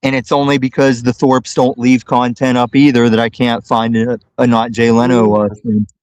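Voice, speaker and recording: A man talking combatively as a guest on a live show, slow, deliberate and often profane. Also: mid-range voice, level tone, darkish tone, no room sound. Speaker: call-in guest. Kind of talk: casual chat.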